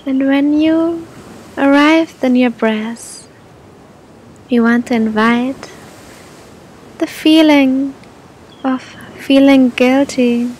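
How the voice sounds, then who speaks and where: Woman, meditation guide, high voice, very slow pace, gentle and soothing, long pauses. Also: rough recording. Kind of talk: guided meditation, spoken softly to the listener. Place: outdoors on a beach. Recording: outdoor recording with low rumbling noise.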